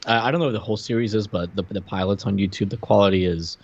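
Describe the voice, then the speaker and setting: A man's voice: on the low side, steady tone, fast. A man co-hosting a podcast in casual review chat, informal, rambling and jokey. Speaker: podcast co-host. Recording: slightly dull, lo-fi sound.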